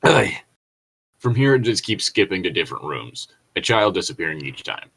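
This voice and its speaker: A low-pitched man reads a story aloud as a co-host. He slips into casual, digressive asides with an irreverent, foul-mouthed manner. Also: sing-song, moderate pace, gravelly, fairly bright tone, husky. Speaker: co-host reading and commenting on stories. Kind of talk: read-along with casual joking asides.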